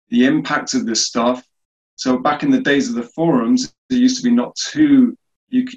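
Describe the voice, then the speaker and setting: Brief: male guest from the UK, mid pitch, easygoing, muffled low-fi audio. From United Kingdom. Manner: relaxed, many fillers and restarts. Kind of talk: casual interview answers.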